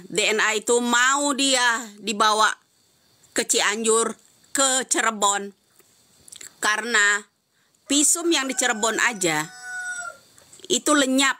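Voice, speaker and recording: Insistent, bright high-voiced woman. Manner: insistent, repeats rhetorical questions. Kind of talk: heated live-stream commentary answering comments.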